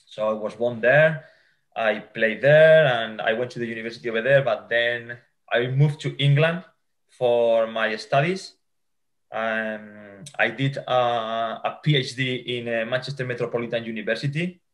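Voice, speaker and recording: A man in his forties from Spain, with a Spanish accent, answering interview questions as a futsal coach over a video call, often restarting his sentences. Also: medium pitch. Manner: halting, with many restarts and fillers. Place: home room.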